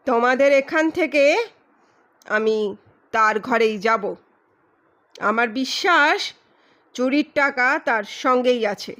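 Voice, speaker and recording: A woman voicing a boastful, ruthless male private detective in an audio story, loud, emphatic and declamatory. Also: high-pitched, takes it slowly, long pauses. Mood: menacing, smug. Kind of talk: dramatized audiobook reading.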